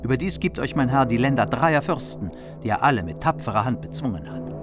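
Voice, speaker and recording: An actor playing a noble margrave envoy in a radio play, earnest, persuasive and formally declaiming. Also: male voice, medium pitch, animated, fairly quick, over music, natural voice. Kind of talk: German radio play acting of a medieval epic.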